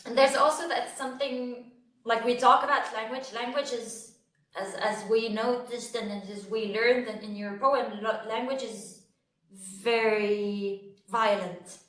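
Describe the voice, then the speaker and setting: A woman moderates a panel, speaking reflectively with frequent pauses and fillers. She sits back from the mic in a roomy lecture hall, so her voice carries a noticeable echo. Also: on the high side, fairly even intonation, fairly bright tone, recorded from a distance. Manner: reflective, halting, many fillers. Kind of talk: panel discussion.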